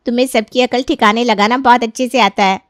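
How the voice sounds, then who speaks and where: A woman acts a young daughter in a cartoon tale, cheeky and prone to whining. Her voice is fairly high, and the recording is very dry. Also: steady tone, fairly quick, no room sound, lightly acted. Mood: teasing. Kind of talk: cartoon moral-story voice acting.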